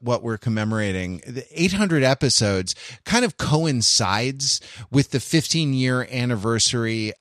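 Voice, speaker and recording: A man hosting a casual panel podcast, talking in a halting, rambling way and often interrupting himself. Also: mid-range voice, a bit bright, no echo, studio quality. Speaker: podcast host.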